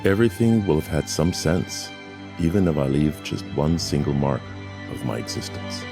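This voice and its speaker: A man in his fifties with a deep voice and clear pronunciation, narrating a film slowly and dramatically. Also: animated. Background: music.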